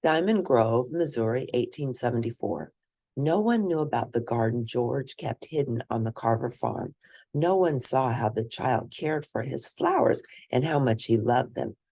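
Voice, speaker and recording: A woman reading a children's picture book aloud as host, in expressive, deliberate storytelling. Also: extremely low pitch.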